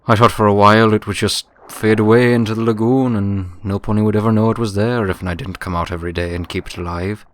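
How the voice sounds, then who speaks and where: A man's voice: fairly low pitch, fairly even intonation, fast talker, few pauses, close to an everyday voice. A man voicing an elderly earth pony manservant in a storybook, deferential, folksy and plain-spoken. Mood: matter-of-fact. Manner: plain-spoken, polite, understated.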